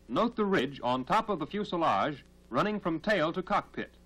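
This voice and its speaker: Lively, higher-pitched 1940s American male narrator. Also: low-quality recording. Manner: formal, instructional, measured. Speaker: training film narrator. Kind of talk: 1940s military training film narration. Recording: 1940s film soundtrack.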